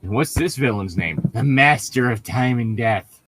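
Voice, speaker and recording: A man's voice: medium pitch, animated, normal speed, fairly bright tone, no echo. A man reading a story aloud as a co-host, breaking off for sarcastic, irritable, profane commentary and casual banter. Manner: sardonic, irritable, profane heckling.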